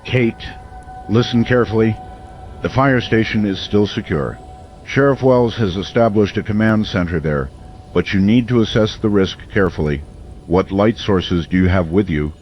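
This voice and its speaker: An actor playing a grave, steadfast male late-night radio host, broadcasting in a solemn, measured, portentous manner in an audio drama. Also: fairly low pitch, dull audio, slight background noise, close to an everyday voice.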